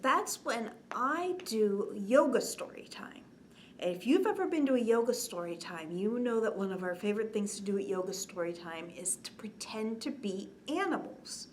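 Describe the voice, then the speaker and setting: A woman in her forties hosting a children's bedtime story time, expressive, drawing out words and often dropping to a hushed, whispery tone. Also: mid-range voice, moderate pace. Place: indoors, small curtained set. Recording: clear background noise.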